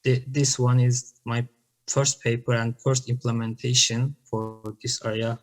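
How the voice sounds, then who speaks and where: Turkish guy on a laptop mic, pretty monotone, keeps restarting his sentences. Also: medium pitch. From Turkey. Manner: halting, with frequent restarts and fillers. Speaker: conference presenter. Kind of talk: answering questions on a video call. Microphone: laptop webcam mic on a video call.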